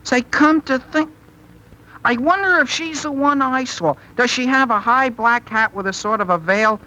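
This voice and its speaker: A man's voice: extremely high pitch, moderate pace, pushed and bright, exaggerated voice, rough recording. An actor playing an eager, nervous, hesitant teenage boy in a 1930s radio comedy. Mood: eager, surprised. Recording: old 1930s radio broadcast.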